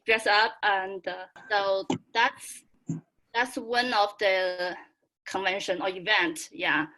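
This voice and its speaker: A young adult woman from China with a Chinese accent, chatting casually in halting, excitable, giggly English on a video call. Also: medium pitch, poor audio. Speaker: student and fan club member.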